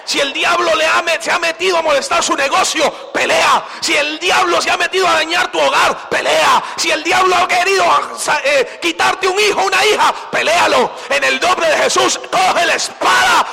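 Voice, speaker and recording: A man preaching a fervent sermon at a church service, exhorting the congregation in repeated shouted phrases. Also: very high voice, moderate pace, vocal fry, few pauses.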